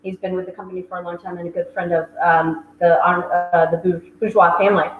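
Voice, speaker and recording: A woman's voice: fairly low pitch, flat delivery, brisk, music in the background. Chatty woman hosting, roomy dull sound. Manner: chatty, lots of ums. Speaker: wine shop host. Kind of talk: hosting a virtual wine tasting.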